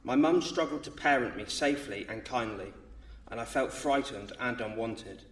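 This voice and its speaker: A man's voice: mid-range voice, normal speed, far from the mic. A man in his thirties from England, nervously reading his baptism testimony aloud. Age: 30s. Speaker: baptism candidate. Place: church. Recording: roomy church sound from off mic.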